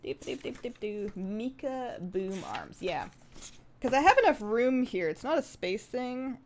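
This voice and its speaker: A woman in her twenties chatting casually on a live game stream, rambling along in a bubbly, animated and giggly way. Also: on the high side, unhurried. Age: 20s. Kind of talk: casual live stream chat while gaming.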